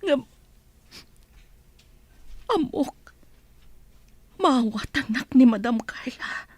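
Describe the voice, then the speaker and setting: High-voiced, slow, pleading mother acted by a woman. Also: animated, darkish tone, no room sound, heavily acted. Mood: troubled, reflective. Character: anguished, pleading mother who serves as a household helper. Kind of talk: Ilocano radio drama acting.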